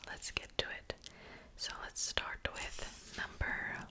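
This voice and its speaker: A young woman with a Czech accent, whispering a slow, repetitive ASMR language lesson. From Czech Republic. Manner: soft whisper, slow and repetitive drilling of words. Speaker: ASMR creator teaching Korean.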